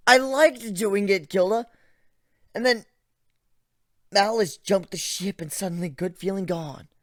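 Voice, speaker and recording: A reader voicing a traumatized, frightened and angry griffin in a dramatic story reading, halting and overwrought. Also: medium pitch, no echo, studio quality, voice pushed up, close to an everyday voice. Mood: anguished, vehement. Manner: overwrought, halting, swinging from hushed to shouting. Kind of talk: dramatic reading of fan fiction.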